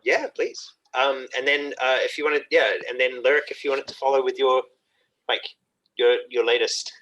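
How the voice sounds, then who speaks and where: A man hosting a poetry open mic in casual chat, handing over between readers in a halting, hesitant way. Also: medium pitch, steady tone, fairly bright tone, dull audio.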